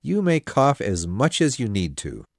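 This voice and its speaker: A woman voicing a calm, dryly teasing older gentleman and father, speaking in an unhurried, mildly mocking way. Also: a bass voice, husky, dry recording, clean studio sound, pitched below the performer's own voice, full character voice. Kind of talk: audiobook character voice.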